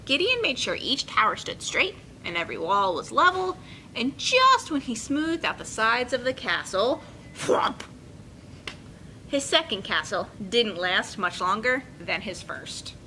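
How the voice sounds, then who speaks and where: A young woman hosting a children's storytime, speaking in an expressive, encouraging way as she reads and instructs. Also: measured pace, low-quality recording. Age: young adult. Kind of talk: picture-book reading and friendly instruction for children. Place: indoor children's room with carpeted steps. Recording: clear room noise, low rumble.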